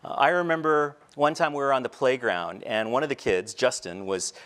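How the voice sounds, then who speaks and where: A middle-aged teacher telling personal stories in a talk on a large indoor stage, shifting between quiet reflective moments and light humor. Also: male voice, mid-range voice, normal speed. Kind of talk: storytelling keynote talk. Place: large stage before a seated audience, indoors. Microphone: headset mic.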